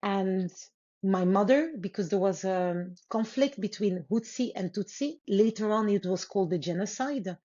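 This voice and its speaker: A woman from Congo whose first language is Lingala, telling her life story as a guest author in an earnest, unhurried way with pauses and restarts. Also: medium pitch, dark tone. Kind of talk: reflective interview storytelling. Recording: slightly muffled audio.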